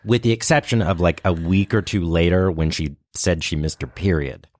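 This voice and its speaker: Low-voiced man telling casual funny stories. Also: normal speed, darkish tone, no echo, clean studio sound. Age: 30s. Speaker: podcast guest, comedian. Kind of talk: casual comic storytelling.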